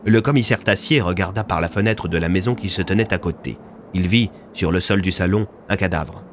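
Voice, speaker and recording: Un homme qui raconte une fiction audio, en narration dramatique écrite. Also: male voice, low voice, fairly quick, slight background noise. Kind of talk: scripted dramatic story narration.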